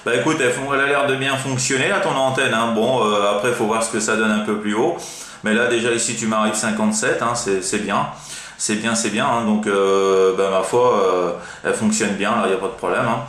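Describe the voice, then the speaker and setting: Man, fairly deep voice, casual radio-operator chat, hesitant, repetitive, noticeably echoey room. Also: steady tone, a little fast, fairly bright tone. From France. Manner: rambling, hesitant, repeats himself. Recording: roomy, echoing room sound.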